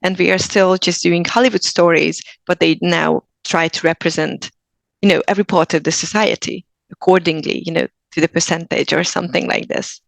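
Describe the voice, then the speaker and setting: A woman's voice: lowish voice, fairly even intonation, fairly quick, creaky, dry recording, low-quality recording. A woman from Hungary with a Hungarian accent, a guest actor speaking earnestly in a casual podcast interview, often restarting her sentences. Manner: earnest, thoughtful, with frequent restarts.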